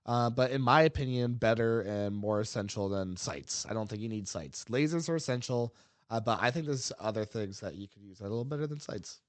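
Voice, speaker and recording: A man's voice: on the low side, fairly quick, no room sound. A man co-hosting a casual podcast about a game, rambling with frequent ums and uhs and laughing easily. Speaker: podcast co-host.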